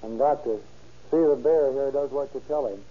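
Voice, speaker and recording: An actor playing a confident male police inspector in an old radio drama, questioning suspects in a calm, dry way. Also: medium pitch, moderate pace, close to an everyday voice. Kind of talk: 1930s radio acting. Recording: dull old radio recording.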